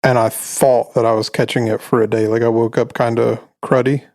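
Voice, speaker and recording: A man in his late twenties co-hosting a casual podcast, telling stories in a relaxed, rambling way. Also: medium pitch, a little fast, no echo, clean studio sound. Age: 20s. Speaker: podcast co-host. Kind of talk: casual chat.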